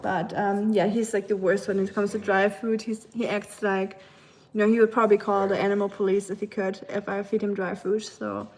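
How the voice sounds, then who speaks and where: A woman's voice: mid-range voice, level tone, normal speed, darkish tone, low-quality recording. A young woman from Germany with a German accent, chatting casually with livestream viewers while she cooks at home, giggling and cooing at her dog. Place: home kitchen.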